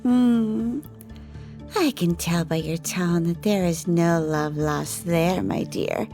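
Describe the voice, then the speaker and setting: Woman doing a cunning, composed lady, very slow deliberate delivery, formal, quiet music underneath. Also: fairly low pitch. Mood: calm, scheming. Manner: measured, poised and formal. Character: composed, cunning woman, devoted partner and schemer. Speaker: lead character in an audio drama. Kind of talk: ASMR audio roleplay acting.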